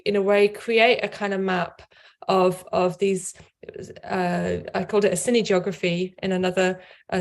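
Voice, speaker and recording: A middle-aged woman speaking on a video call from a home office, thoughtful, repeating words as she gathers her ideas. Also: medium pitch. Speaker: author and scholar. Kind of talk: casual panel talk.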